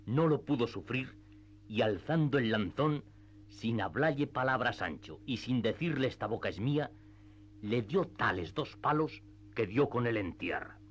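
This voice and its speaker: A man's voice: mid-range voice, lively intonation, moderate pace, dull audio. A man narrating a classic tale as the storyteller of a radio play.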